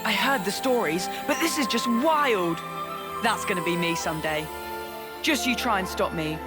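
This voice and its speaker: An eager, starry-eyed kid voiced by a woman from a video game, with very lively intonation. The youngster sounds bold and determined over loud music. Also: mid-range voice, moderate pace, natural voice. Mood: thrilled. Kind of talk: scripted game dialogue.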